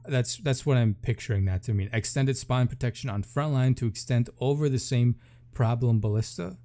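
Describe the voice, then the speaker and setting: A man's voice: medium pitch, dark tone. A man reading patch notes aloud as a gaming video host, with offhand casual commentary and thinking aloud between items.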